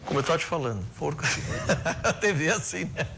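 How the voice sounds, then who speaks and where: A man's voice: on the high side, lively intonation, fairly quick, creaky, over loud music. A man with a gaúcho accent hosting a TV talk show, joking and playful with his guest. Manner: jokey, playful, laughs often. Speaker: TV host. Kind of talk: casual chat interview.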